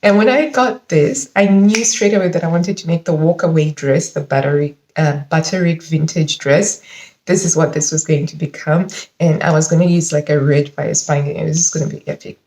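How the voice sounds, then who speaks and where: A woman's voice: deep voice, moderate pace. A woman in her forties chatting casually about her fabric haul, a little congested from hay fever, talking with chatty enthusiasm. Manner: chatty, enthusiastic, animated with frequent laughs. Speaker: sewing vlogger. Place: living room at home, indoors. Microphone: camera mic at close range. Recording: echoey room.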